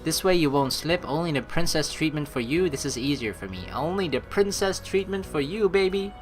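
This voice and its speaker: A young woman doing let's play commentary, reading game text aloud in an animated, playful, blunt and casual way. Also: low voice, brisk, faint music behind.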